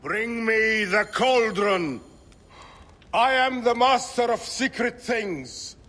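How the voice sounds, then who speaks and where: In a long reverberant space, a mystical Egyptian seer, a man with a high voice. He intones very slowly, grand and reverent, like a priest in a game's ritual scene. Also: animated, pushed and bright, full character voice. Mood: solemn incantation. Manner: incantatory, grandly solemn. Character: mystical male seer chanting ancient rituals, commanding and reverent. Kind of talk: dramatic video game acting. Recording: long reverberant hall, faint music behind.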